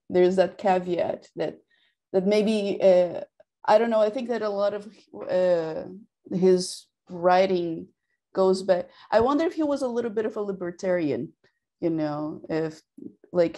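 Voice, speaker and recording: A middle-aged woman chatting casually over a video call in a halting, tentative way with many fillers. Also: lowish voice, warm tone. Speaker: audience participant. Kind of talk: casual discussion. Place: indoor room on a video call.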